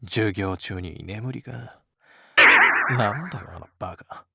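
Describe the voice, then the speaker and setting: A man playing a cool, blunt, athletic teenage schoolboy in an anime-style voice drama, talking in curt, rough slang. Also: lowish voice, unhurried, fairly bright tone, dry recording, studio quality, exaggerated voice. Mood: grumbling, disbelieving.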